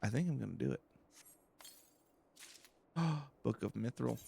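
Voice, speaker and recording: A man giving casual, low-key video game commentary, musing aloud to himself as he plays. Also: lively intonation, measured pace, creaky, dark tone, slightly rough. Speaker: let's play streamer.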